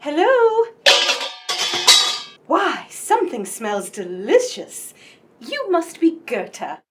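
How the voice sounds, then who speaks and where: A woman's voice: high-pitched, wildly swooping pitch, extremely slow, a little hiss or hum, full character voice. A woman playing a genteel, inquisitive lady guest in a radio play, with polite and well-read speech. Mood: gently probing.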